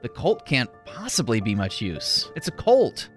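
A doubtful follower, chatty and puzzled, really animated, music under it, bible audio drama. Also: male voice, mid-range voice, a little slow. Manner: conversational, puzzled. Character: questioning, doubtful male disciple of Jesus.

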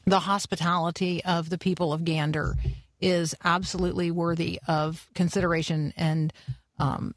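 A woman hosting a radio show, speaking earnestly and deliberately as she comments and moves the program along. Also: on the low side, flat delivery, no room sound. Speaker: radio host. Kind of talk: live radio interview and commentary.

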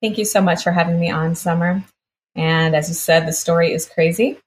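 A woman's voice: fairly low pitch, fairly even intonation, fairly quick. A woman from the United States, born in Brazil, telling a personal story conversationally as an interview guest, quoting people as she goes.

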